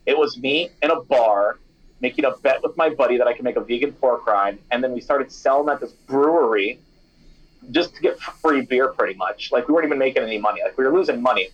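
Young male founder, casual and animated, mid pitch, a little muffled. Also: brisk, slightly rough. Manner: chatty and animated, many fillers like "you know" and "like". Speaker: snack company founder, former radio DJ. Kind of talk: casual interview answers.

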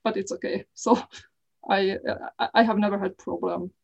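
A middle-aged woman from Hungary with a Hungarian accent, talking as an interview guest in careful, halting English with frequent restarts. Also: medium pitch, steady tone, creaky, slightly rough, highs cut off. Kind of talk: casual interview talk.

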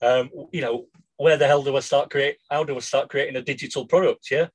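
A man with a croaky voice, co-hosting a casual business podcast and often checking in with "yeah?". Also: medium pitch, fairly even intonation, fairly quick, low-quality recording. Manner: addresses listeners as guys, ends lines with "yeah?". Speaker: podcast co-host.

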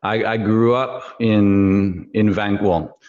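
A man's voice: lowish voice, fairly even intonation, slow pace, darkish tone, dull audio. A man in his forties from Canada whose first language is Hebrew, talking as a guest in a rambling, hesitant way with many fillers. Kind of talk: podcast interview.

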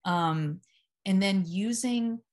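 A woman with a naturally low voice, speaking calmly and deliberately as a hypnotherapist guest in a casual interview. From Memphis, US South. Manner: calm, deliberate, steady delivery. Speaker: hypnotherapist at a psych hospital, guest.

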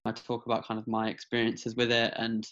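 A young man in his twenties speaks earnestly over a video call, often restarting his sentences. His voice sits at an ordinary pitch for a man. The audio sounds slightly dull and lo-fi. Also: monotone, fairly quick, fairly bright tone. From England and France. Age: 20s. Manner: earnest, many restarts and fillers. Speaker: guest, mental health advocate.

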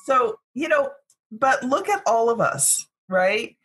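A woman's voice: fairly high pitch, expressive, takes it slowly, fairly bright tone, slightly rough. A woman in her fifties hosting a casual group discussion, encouraging the others and steering the conversation. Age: 50s. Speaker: host.

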